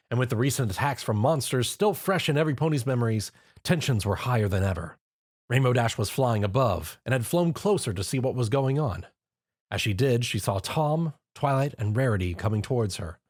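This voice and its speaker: A man's voice: mid-range voice, animated, a little fast. A man reading fan fiction aloud as narrator, telling the story expressively.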